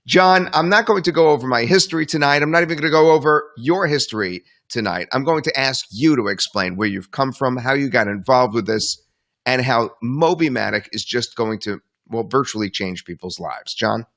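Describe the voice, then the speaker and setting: A man hosting an online webinar, talking up a product in an enthusiastic, rambling sales pitch. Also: expressive, moderate pace, a bit bright. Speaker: webinar host. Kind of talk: promotional webinar talk.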